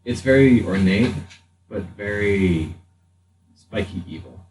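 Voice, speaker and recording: A man speaking as game master, improvising narration for a tabletop roleplaying game with halting pauses mid-sentence. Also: on the low side, animated, darkish tone. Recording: roomy, distant mic with faint noise.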